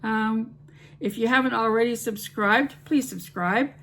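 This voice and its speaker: A woman's voice: a little high, unhurried, fairly bright tone. A middle-aged woman with a Canadian accent, hosting a home cooking channel and chatting to camera in a rambling, friendly way. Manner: rambling, friendly, with fillers and restarts. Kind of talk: chatty vlog talk to camera.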